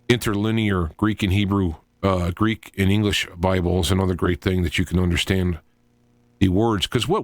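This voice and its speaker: A middle-aged man with a fairly low voice hosts a talk radio show on his own. He speaks informally and haltingly, drifting between thoughts as he works them out aloud. The sound is close and dry, with little room to it. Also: no room sound. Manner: halting, digressive, thinking aloud.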